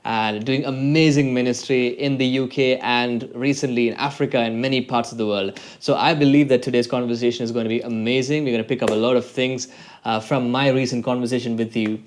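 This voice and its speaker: A man hosting a casual podcast interview from a home room. He sounds upbeat and chimes in with quick yeahs and wows, speaking at a middle pitch. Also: fairly even intonation. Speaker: podcast host.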